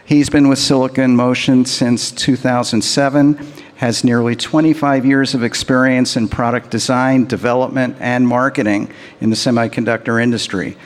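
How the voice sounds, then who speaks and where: A man at an average pitch reads a formal speaker introduction at a steady pace, with a few small fillers. His voice carries the long reverb of a large hall. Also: moderate pace. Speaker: conference emcee.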